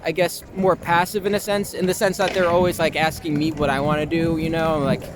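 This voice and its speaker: A young American man talking casually and candidly as an interview guest, halting and informal, leaning on "like" and the odd swear word. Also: fairly high pitch, flat delivery, music in the background. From Long Island, New York, US. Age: young adult.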